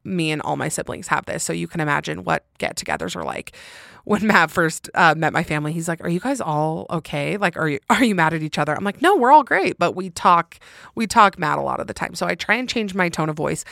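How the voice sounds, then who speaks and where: A woman with a fairly low, intense voice hosts a casual solo podcast. She talks loudly and candidly, leaning on her words for emphasis as she chats to listeners. The recording is dry, with hardly any room sound. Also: lively intonation, brisk, creaky, no echo. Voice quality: loud, intense voice. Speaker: podcast host. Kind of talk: casual solo chat answering listener questions.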